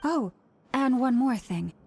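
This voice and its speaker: A woman playing a knowing, serious young woman in a video game, recounting lore in a measured way. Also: high voice, expressive, a little mellow, soft background music, heavily acted. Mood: serious, informative.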